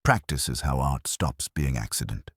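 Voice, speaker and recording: A man's voice: very deep voice, animated, normal speed, a bit bright, slightly rough, dry recording, studio quality, full character voice. A man voicing a smug, theatrical villain in an audio mystery, pompous and precise in a pleasantly menacing way. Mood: pompous, satisfied.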